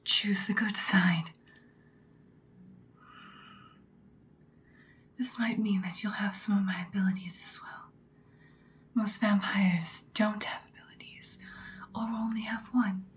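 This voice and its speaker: Lady doing a whispery vampire mentor lady for asmr, slow n bossy, kinda ominous, bit of background noise. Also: mid-range voice, steady tone, lightly acted. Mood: hushed, intrigued. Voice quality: soft breathy whisper. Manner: slow, deliberate, instructing, ominous. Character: ancient-seeming female vampire mentor, commanding, once ruthless, now good.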